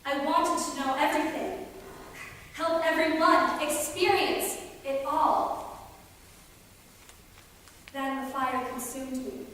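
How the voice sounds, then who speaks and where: A woman acting a haunted, bitter, grieving character in a dramatic, deliberate staged reading. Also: high-pitched, fairly bright tone. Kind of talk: theatrical monologue and dialogue in staged reading. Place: theatre stage, recorded from audience distance. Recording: echoey theatre hall, distant mic, faint noise.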